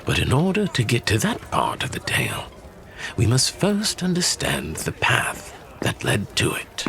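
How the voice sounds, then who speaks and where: A fantasy audio-drama storyteller, solemn and theatrical. His pitch rises and falls widely as he takes his time over each phrase, with clear noise behind him. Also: huge pitch swings, a little slow, full character voice. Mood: solemn, deliberate. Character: measured storyteller recounting legends over drinks.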